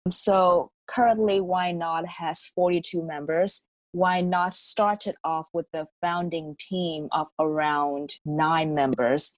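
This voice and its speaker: A woman's voice: lowish voice, fairly even intonation, takes it slowly, no room sound, low-quality recording. A woman student and co-founder explaining how her youth network started, in a casual, explanatory way. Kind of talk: panel conversation.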